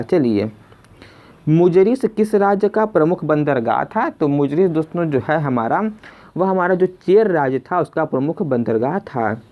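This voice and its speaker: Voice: medium pitch, warm tone. A tutor narrating a question-and-answer exam lesson, repeating questions and addressing listeners as friends. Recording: dull, lo-fi sound.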